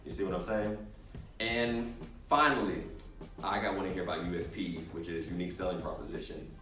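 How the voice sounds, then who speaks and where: A man's voice: sits low, brisk. A young man giving a casual motivational talk on entrepreneurship, telling stories and acting out voices. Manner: animated storyteller, acts out voices in anecdotes. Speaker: entrepreneurship coach. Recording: echoey room, distant mic, music behind.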